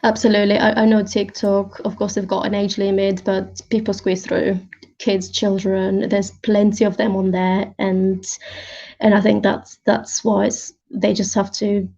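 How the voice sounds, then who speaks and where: A woman's voice: mid-range voice, flat delivery, a little slow, creaky, dark tone, dull audio. A woman answering as an interview guest in a conversational, reflective and somewhat hesitant way. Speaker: interview guest, open-source intelligence researcher.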